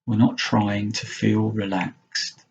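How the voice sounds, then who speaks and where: Male meditation teacher, very breathy and rough, very slow pace, gentle guiding delivery, long pauses, muffled lo-fi sound. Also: on the low side, flat delivery. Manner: gentle, unhurried, with long pauses between short phrases. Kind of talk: guided mindfulness meditation.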